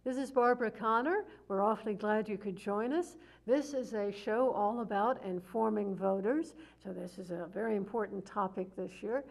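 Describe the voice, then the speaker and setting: A woman's voice: medium pitch, moderate pace, vocal fry, few pauses, low-quality recording. Chatty woman hosting a local TV show. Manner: warm-hearted, hesitant, many uhs. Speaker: talk show host. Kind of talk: community TV interview.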